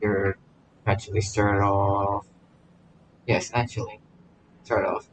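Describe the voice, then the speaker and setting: Low-pitched, halting male game streamer. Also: little pitch movement, a little hiss or hum, rough recording. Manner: halting, repeats words. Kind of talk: reading game dialogue aloud, casual reactions.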